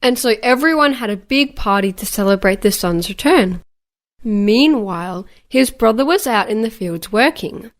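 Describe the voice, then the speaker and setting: A woman's voice: on the high side, expressive, moderate pace. A woman reading a scripted Bible story for children.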